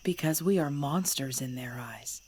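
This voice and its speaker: Low breathy vampire mistress played by a woman. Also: darkish tone. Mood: grave, resolute. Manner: formal, measured, courtly. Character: centuries-old vampire mistress, female, cold ruler turned protective. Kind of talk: ASMR audio roleplay.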